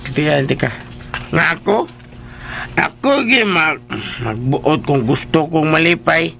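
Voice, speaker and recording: Drunk comic driver for radio drama: creaky, wildly lively, slurred, excitable and rambling. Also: male voice, on the high side, a little slow, a little hiss or hum, full character voice. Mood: rambling, joking. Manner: slurred, repetitive, excitable tipsy chatter. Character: drunk, jolly, rambling male driver and sidekick.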